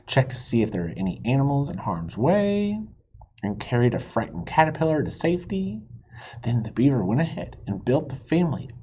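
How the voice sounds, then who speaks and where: A man reads a children's story aloud with big swings in pitch and an unhurried pace. He speaks simply to young listeners and puts questions to them. The recording is a little dull. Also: mellow, slight background noise. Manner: asks the listeners questions, explains words simply. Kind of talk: expressive read-aloud with asides to young listeners.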